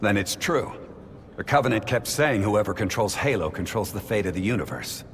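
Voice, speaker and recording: A man playing a resolute older naval captain in a sci-fi game cutscene, with an authoritative, measured way of speaking. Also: on the low side, animated, big-room sound, a little hiss or hum. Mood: grave, resolute. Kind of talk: cinematic sci-fi game acting.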